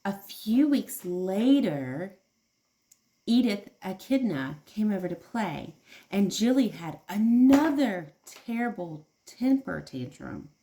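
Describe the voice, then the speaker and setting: A woman with a medium-pitched voice reads a picture book as a preschool teacher. She speaks to small children, stressing words and pausing to explain things simply or ask questions. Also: sing-song, warm tone. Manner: addresses young children with questions and emphatic words. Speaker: preschool teacher reading aloud. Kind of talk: expressive children's read-aloud with simple explanations.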